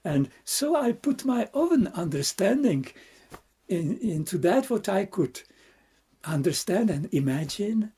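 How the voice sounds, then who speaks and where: A Czech man, a Buddhist monk, gives an unhurried, reflective dharma talk with a Czech accent. His voice is deeply breathy and husky with a mellow, dark color. He pauses often to search for words and lets out soft laughs along the way. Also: very animated. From Czech Republic.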